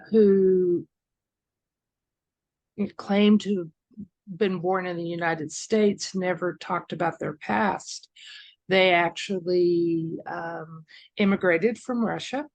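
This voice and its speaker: An older woman hosting a conversation, unhurried and searching for words as she talks. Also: on the low side, no room sound. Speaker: host.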